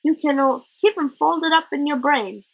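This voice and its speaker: A woman's voice: high-pitched, moderate pace, creaky, voice pushed up, low-quality recording. A woman voicing a cheerful, literal small boy who used to be a rat, chattering earnestly in working-class grammar.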